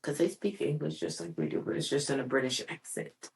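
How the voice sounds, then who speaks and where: A woman's voice: a bass voice, very animated, a little fast, a little hiss or hum. A woman reading a children's story aloud expressively, with casual, patient asides to a child.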